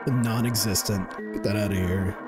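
A man's voice: on the low side, steady tone, normal speed. A man in his thirties giving casual, jokey gameplay commentary, often repeating himself and swearing, on a close desk mic. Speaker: gaming video host. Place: indoor gaming setup. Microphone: close desk microphone and headset. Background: game music.

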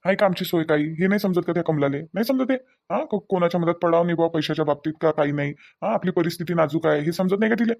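A hot-tempered village husband, acted by a man, with a high voice and quick delivery. He scolds in repeated questions, in a rural Vidarbha Marathi accent. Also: lively intonation, vocal fry, a little mellow. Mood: exasperated. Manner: scolding, repetitive rhetorical questions. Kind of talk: amateur Marathi village family drama.

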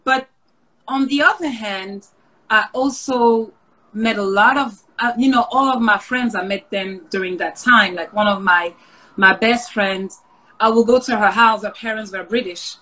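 A woman's voice: medium pitch, a little slow, pushed and bright, low-quality recording. A woman who grew up in France, speaks casually and reflectively as an interview guest over a video call. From Paris suburbs, France.

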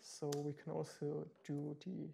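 Gravelly-voiced man giving a tech demo. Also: a little high, completely flat delivery, unhurried, mellow, slight background noise. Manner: explanatory, with frequent ums and restarts. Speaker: meetup speaker. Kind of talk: live tech demo talk. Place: meetup room.

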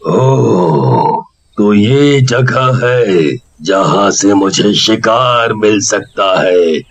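Deep, slow demon ghost. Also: expressive, exaggerated voice. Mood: scheming, hungry. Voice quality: deep, booming. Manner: slow, gloating, villainous. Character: menacing male ghost possessing a giant demon-king effigy. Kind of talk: Hindi horror cartoon voice acting.

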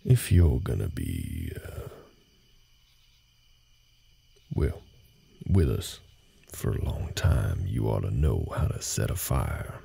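A man playing a gruff, guarded cowboy ranch hand in an audio roleplay, talking in a slow, folksy, halting way with a husky, gravelly voice. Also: rumbling low, very animated, dark tone, full character voice. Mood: patient, matter-of-fact. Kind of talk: intimate scripted audio roleplay, slow speech to the listener.

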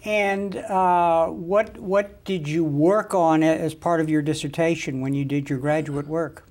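A man's voice: a little high, fairly even intonation, measured pace, darkish tone. An older man hosting a studio interview, introducing his guest and asking questions formally, on a lapel mic. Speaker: interview host.

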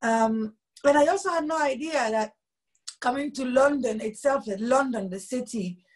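A woman in her thirties from Luxembourg with a husky voice, telling stories animatedly with frequent laughs over a video call from home. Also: high-pitched, moderate pace. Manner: animated storytelling, laughs often. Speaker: musician guest. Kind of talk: casual video call interview. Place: home room, indoors.

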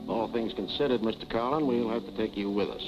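An actor plays a dry, skeptical police detective in a vintage crime film. He talks in a clipped, lowish voice. The soundtrack is dull and old, with strong music. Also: quick pace, close to an everyday voice. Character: skeptical, dryly sarcastic male police detective. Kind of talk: 1940s film acting.

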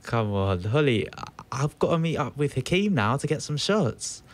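Lively-pitched, wry young man. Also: moderate pace. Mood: weary, wry. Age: young adult. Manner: placating, dryly sarcastic. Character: weary, reassuring young flatmate with dry sarcasm. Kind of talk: naturalistic audio drama acting.

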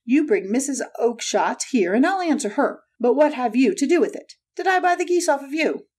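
A woman's voice: high-pitched, animated, normal speed, a little mellow. Woman playing a surly, combative market salesman. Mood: angry. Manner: gruff, combative, snapping delivery. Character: surly, hot-tempered male poultry salesman at market stall. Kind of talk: dramatic audiobook reading.

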